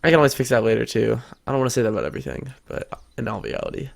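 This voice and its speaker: A man's voice: mid-range voice, sing-song, racing, vocal fry. A teenage boy hosting a casual game tutorial, chatty and thinking aloud as he builds, his nose a little stuffed up. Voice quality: slightly congested. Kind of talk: casual screen-recorded tutorial.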